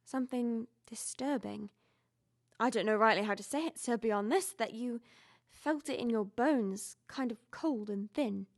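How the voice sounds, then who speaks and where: A woman's voice: on the high side, a little slow. A young woman playing a loyal, frightened longtime household servant in a Victorian audio drama, earnest and deferential, telling things with emphasis. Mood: uneasy, hushed. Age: young adult.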